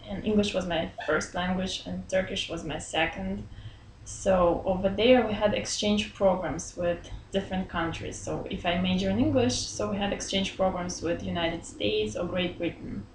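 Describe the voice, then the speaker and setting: Woman, 30s, from Belarus, friendly, laughs often, pauses for words, small office, webcam, roomy echo. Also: mid-range voice, steady tone. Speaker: interviewee. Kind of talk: casual interview chat. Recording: roomy echo, faint room hum.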